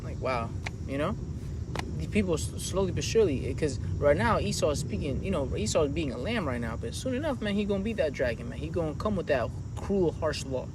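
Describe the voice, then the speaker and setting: A man preaching outdoors on a city street, animated and slangy, calling listeners man and brother. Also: a little high, a little fast, noisy background. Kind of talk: impassioned outdoor street teaching.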